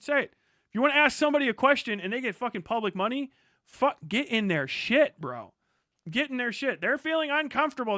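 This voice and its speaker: An American man with a high-pitched, sharp and clear voice rants to his livestream. He is forceful and foul-mouthed, hammering his points and voicing imagined exchanges. The sound is clean and smooth-toned. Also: no echo, studio quality. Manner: forceful, emphatic, sweary, acts out imagined dialogue. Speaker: streamer. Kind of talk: impassioned, profane livestream rant.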